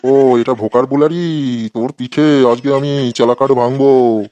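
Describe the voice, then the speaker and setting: A man's voice: medium pitch, fairly even intonation, measured pace, mellow, no room sound, highs cut off, close to an everyday voice. A man playing an irritable, sarcastic schoolteacher who threatens his pupils in a comedy cartoon. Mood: angry, sarcastic. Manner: scolding, sarcastic. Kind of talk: exaggerated comic cartoon dialogue.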